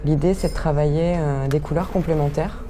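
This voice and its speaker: A French woman, an artist, talking hesitantly about her work, with many filler sounds and pauses, filmed on a handheld camera. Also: deep voice, steady tone, mellow, faint music behind. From France. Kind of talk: casual self-presentation to camera. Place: cultural centre during a New Year event. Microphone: handheld camera at arm's length. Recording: crowd noise around the camera. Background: crowd chatter.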